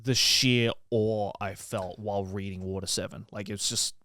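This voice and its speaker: A man's voice: fairly low pitch, fairly even intonation, normal speed, sharp tone, no room sound. A man chatting as a podcast guest, eager and chummy, swearing casually and agreeing with lots of "yeah".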